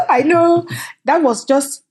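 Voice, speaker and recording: Animated storytelling woman from Cameroon. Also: very high voice. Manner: animated, storytelling, quick bursts, laughs often. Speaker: company founder, guest. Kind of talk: conversational interview.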